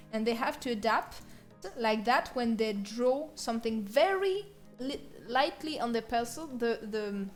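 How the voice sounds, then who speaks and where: A woman with a French accent giving a casual, chatty livestream drawing tutorial with playful, self-mocking asides. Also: fairly high pitch. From France. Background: music.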